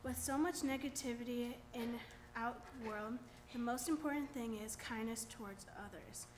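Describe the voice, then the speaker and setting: A woman's voice: a little high, normal speed, a little hiss or hum. A young woman reading a series of quotations at a church lectern, naming each author after. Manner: reads quotes then names the author. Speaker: reader. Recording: echoey church room.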